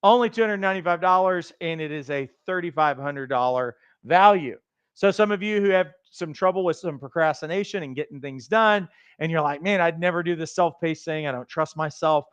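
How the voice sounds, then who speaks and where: A man with a raspy voice hosting a livestream, giving a fast, emphatic, motivational pitch for coach training. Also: dry recording, lo-fi sound. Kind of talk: energetic sales pitch on a livestream.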